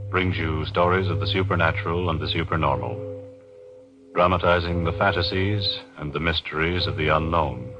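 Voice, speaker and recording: A man announcing and pitching for the sponsor in 1940s radio style, polished and emphatic, on a muffled old recording.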